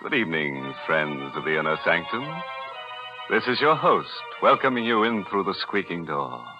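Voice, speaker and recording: Vintage horror anthology host: low, macabre, tongue-in-cheek, with music underneath. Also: male voice, very animated, normal speed. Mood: gleefully macabre. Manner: mock-sinister, tongue-in-cheek. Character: ghoulish, gleefully punning male horror host. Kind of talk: 1940s radio horror hosting. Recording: muffled old radio recording, music behind.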